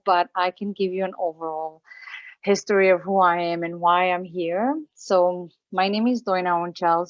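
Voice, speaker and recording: A woman's voice: on the low side, dry recording, muffled recording. A woman from Romania in her forties giving an earnest personal talk as a guest speaker at an online event, with many fillers and restarts.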